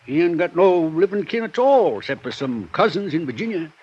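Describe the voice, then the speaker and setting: An actor playing an old, shrewd prospector who has lived alone in the mountains for decades, talking in a drawling rural dialect, in a 1950s radio drama. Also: male voice, fairly high pitch, animated, a little fast, darkish tone, breathy edge. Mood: folksy. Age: older. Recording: dull old radio broadcast with faint hiss.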